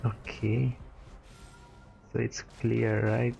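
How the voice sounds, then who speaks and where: A man's voice: mid-range voice, monotone, drawn-out words, vocal fry, warm tone, muffled recording, soft background music. A man doing casual live gaming commentary in short, muttered reactions. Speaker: gaming streamer reacting to a game. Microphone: webcam setup at a desk.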